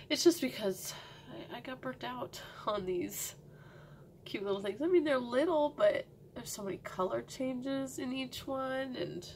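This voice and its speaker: A woman's voice: mid-range voice, vocal fry, a little hiss or hum. A woman with a cold, vlogging casually at home, rambling with many ums and sometimes dropping to a near-whisper. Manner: drops to a near-whisper at times. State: sniffly with a cold. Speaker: cross-stitch vlogger. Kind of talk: casual chat to camera.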